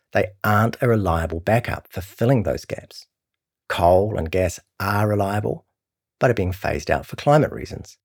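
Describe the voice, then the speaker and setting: A man's voice: low-pitched, darkish tone, slightly rough. A man with a New Zealand accent reading a scripted long-form article as a documentary narrator.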